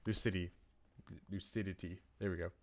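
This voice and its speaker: A man's voice: sits low, steady tone, a little fast, a little mellow. A man chatting casually as he streams a game. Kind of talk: casual livestream chat while playing a visual novel. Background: game music.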